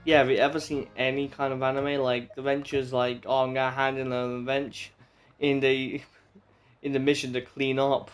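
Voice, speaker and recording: A man's voice: medium pitch, little pitch movement, moderate pace. Male streamer: mid-range voice, wry casual rambling commentary, light music underneath. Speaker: video game streamer. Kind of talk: casual off-the-cuff game commentary.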